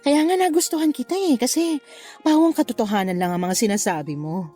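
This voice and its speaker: A woman's voice: sits high, brisk, mellow, slightly rough, soft background music. An actress playing a flirtatious married woman in her forties in a radio drama, with a coy, teasing manner. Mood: admiring, seductive. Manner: coy, teasing, breaks into giggles. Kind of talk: Tagalog radio drama acting.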